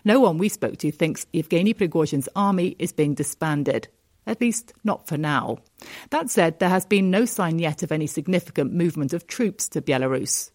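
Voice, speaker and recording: A female correspondent narrates a scripted report from abroad. Her voice is low and her delivery steady and deliberate. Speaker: foreign correspondent.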